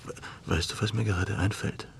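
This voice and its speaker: An actor playing an ailing, middle-aged writer and court official in a German radio play, halting and brooding, restless with self-doubt and sardonic. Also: male voice, lowish voice, fairly even intonation, creaky, a little hiss or hum. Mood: weary, insistent.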